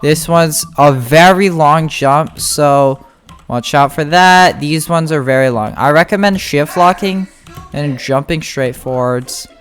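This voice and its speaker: A casual gameplay guide host giving tutorial commentary in an informal, halting way, thinking aloud. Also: medium pitch. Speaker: game guide video host. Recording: music behind the voice. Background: music.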